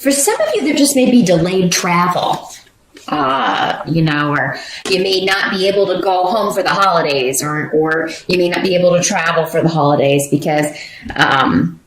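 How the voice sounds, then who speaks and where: A woman's voice: on the low side, lively intonation, moderate pace, roomy sound, crowd noise, low-quality recording. A woman giving a casual tarot reading, rambling with many fillers and restarts. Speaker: tarot reader.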